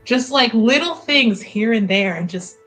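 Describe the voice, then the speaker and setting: A woman's voice: a little high, expressive, unhurried, fairly bright tone, faint music behind. A woman playing in a tabletop game, explaining her character's actions in a chatty, filler-heavy way. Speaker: player. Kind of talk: casual roleplay chat.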